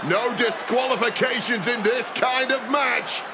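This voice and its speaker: A man giving excited wrestling commentary in a dramatic, grandiose way. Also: very high-pitched, measured pace, vocal fry, background noise. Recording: loud music and roaring arena crowd. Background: music and crowd.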